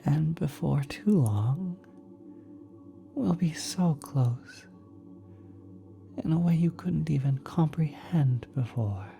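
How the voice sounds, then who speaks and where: A very deep, dark, velvety voice from a woman playing a kind celestial being in an ASMR roleplay. It is soft and half-whispered, cooing tenderly like a doting parent. The delivery is slow and lilting, with an otherworldly patience. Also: animated, soft background music, heavily acted. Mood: soothing. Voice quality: soft, breathy, partly whispered.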